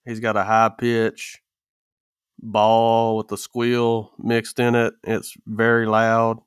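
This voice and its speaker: A man's voice: on the low side, monotone, slow, clear, resonant tone, no room sound, studio quality. A man in a casual podcast chat, telling stories with folksy, rural phrasing. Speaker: podcast co-host.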